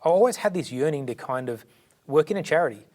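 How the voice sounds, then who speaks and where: A man's voice: a little high, expressive, fast, mellow. A middle-aged man with an Australian accent, born in India and raised in Sydney, giving earnest, fluent interview answers with a few restarts. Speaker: charity director, guest.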